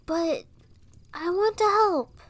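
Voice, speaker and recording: A woman's voice: extremely high pitch, expressive, takes it slowly, dry recording, heavily acted. A woman playing an eager, plucky, clever young girl helper in a fantasy audio roleplay, earnest and youthful. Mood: pleading.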